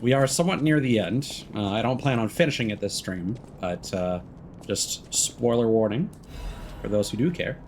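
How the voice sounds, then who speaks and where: A man's voice: on the low side, moderate pace, a little mellow, a little hiss or hum. A man livestreaming a video game, chatting informally with viewers in a laid-back, friendly way. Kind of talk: casual let's play commentary, chatting with viewers.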